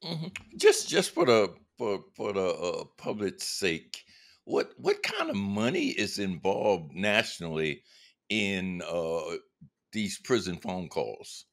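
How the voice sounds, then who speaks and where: Male interviewer, low voice. Conversational, halting delivery, feels his way into each question. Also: wildly swooping pitch, gravelly. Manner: halting, repeats words as he frames questions. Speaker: interviewer, host.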